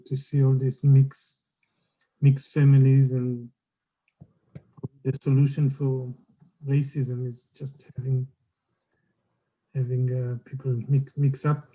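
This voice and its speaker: Man, Israeli accent, hesitant, slow, monotone, video call. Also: medium pitch, low-quality recording. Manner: hesitant, searching for words. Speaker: panelist. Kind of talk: personal account on a panel.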